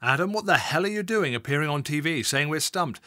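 A gruff, blustering senior police chief barks down the line, as a male narrator puts him on, his voice pushed higher and louder than the telling around him. He is a middle-aged man who scolds with open exasperation. The sound is dry and close. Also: lively intonation, a little fast, no echo. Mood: exasperated.

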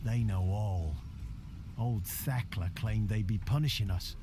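An actor playing a weary, deferential peasant village elder in a video game, solemn and rustic. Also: male voice, fairly low pitch, unhurried, noisy background, close to an everyday voice. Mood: grave, fearful. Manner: rustic, solemn, archaic dialect. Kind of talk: dramatic video game acting. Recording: music under the dialogue.

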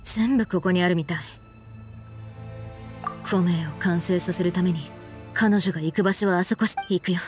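A woman playing a cool, laid-back, sharp-witted young woman with a casual, blunt manner in an anime game. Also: lowish voice, lightly acted. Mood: alert. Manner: casual, blunt, unhurried, pointed questioning. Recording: background music under the voice.

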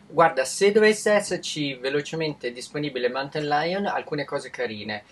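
A man's voice: on the high side, lively intonation. Italian man explaining tech in an interview. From Italy. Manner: measured, informative, with frequent restarts. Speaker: tech expert guest.

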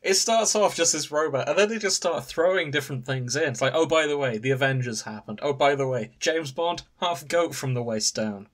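A man's voice: fairly high pitch, fairly quick, few pauses. A man podcast host casually recounting a story to friends in an amused, wry manner. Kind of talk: casual chat, recounting fan fiction.

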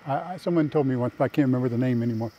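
A man's voice: medium pitch, very fast, dull audio. An older man hosting a casual walking tour outdoors on a lapel mic, folksy and plain-spoken. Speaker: vlog host and tour guide. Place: outdoors in a park.

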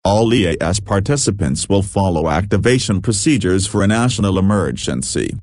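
A man's voice: low voice, animated, moderate pace, a little mellow, no room sound, clean studio sound. A man reading a scripted official alert notification as an emergency announcer.

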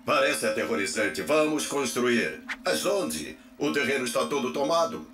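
A man's voice: medium pitch, animated, moderate pace, close to an everyday voice. A man voicing a fun-loving worker robot in a cartoon dub, with a Brazilian accent. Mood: eager. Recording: echoey, music underneath.

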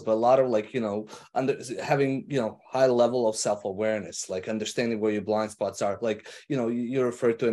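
A man's voice: mid-range voice, a little mellow. A man moderating a panel on a video call, speaking hesitantly and searching for his words. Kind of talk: casual panel discussion.

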